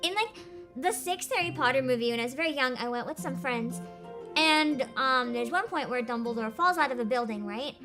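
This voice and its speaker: Brief: high-pitched, lively woman streamer, giggly storyteller, with music playing under her voice. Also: brisk. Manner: giggly, laughs often, animated storytelling. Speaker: VTuber streamer host. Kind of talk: casual chat stream.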